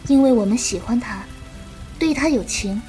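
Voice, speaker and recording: A woman playing a gentle, compassionate young martial-arts disciple who explains patiently in a Chinese period audio drama. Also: fairly high pitch, moderate pace, soft background music, natural voice. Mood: gentle, consoling.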